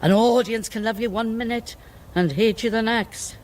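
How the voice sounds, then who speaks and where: An older woman with a Welsh accent playing a proud, sharp-tongued, ageing female singing star in a radio play. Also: fairly high pitch, fairly even intonation, moderate pace, slight background noise, lightly acted. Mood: confiding. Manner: theatrical, grand, teasing.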